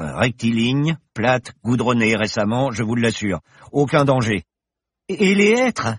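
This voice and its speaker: A man's voice: medium pitch, breathy edge, dry recording, studio quality, pitched above the performer's own voice. A man voicing a boastful eccentric old professor. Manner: jovial, showy, lofty speech. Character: boastful eccentric older male professor pilot.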